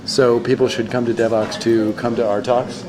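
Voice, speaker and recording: A man hosting casual interviews in a hotel bar, joking in a deadpan way. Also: mid-range voice, normal speed, background noise. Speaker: host. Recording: crowd chatter. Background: bar crowd.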